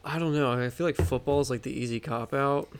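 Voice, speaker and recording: A young American man with a noticeably creaky, gravelly voice at an average pitch. He co-hosts a podcast, spinning improvised comic stories casually, with a halting and giggly delivery. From American Midwest. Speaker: podcast co-host. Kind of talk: casual comedic improvised storytelling.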